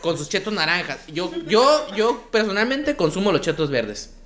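Man with a Baja California accent, high lively voice, joking and slangy. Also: moderate pace. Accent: northern Mexican (Baja California). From Ensenada, Baja California. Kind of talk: casual chat among friends.

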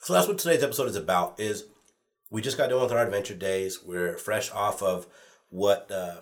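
A man with a low, nasal voice, stuffed up from a cold. He co-hosts a casual podcast among friends, loose and teasing, drifting off on tangents before pulling the chat back on track. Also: normal speed. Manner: teasing, rambling. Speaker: podcast co-host.

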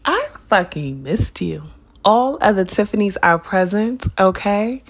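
A young woman with a slightly raspy, recovering voice hosts her own podcast. She talks in an animated, loose and playful way, swearing freely. Her pitch sits in an ordinary middle range for a woman. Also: a little slow. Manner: animated, profane, playful, spiritual self-help talk. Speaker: podcast host. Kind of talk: casual solo podcast talk.

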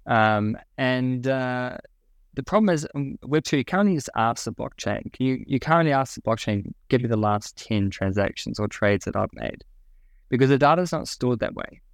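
A young man from New Zealand, with the accent to match, walks through technical ideas in an easygoing explanatory way. He pauses on plenty of ums and restarts his sentences. His mid-pitched voice is recorded close and dry. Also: animated, dry recording. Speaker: guest, product officer. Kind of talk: podcast interview.

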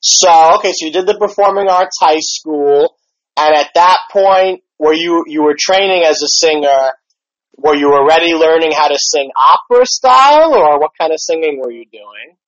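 A man who used to act, hosting an interview in a relaxed, halting way with lots of fillers. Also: a little high, no room sound. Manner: relaxed, hesitant, lots of ums and you knows. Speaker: podcast interviewer, former actor. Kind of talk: casual interview chat. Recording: dry, slightly dull podcast audio.

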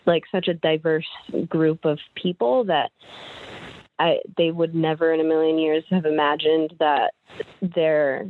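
A woman's voice: low-pitched, fairly even intonation, a little slow, no room sound. A young woman interview guest, talking in a hesitant, rambling way with many restarts. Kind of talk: casual interview chat. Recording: dry, tinny phone-like line.

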